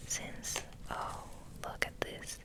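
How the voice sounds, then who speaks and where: A woman giving a soft, breathy, whispered ASMR ramble as host, in a gentle, unhurried, musing way.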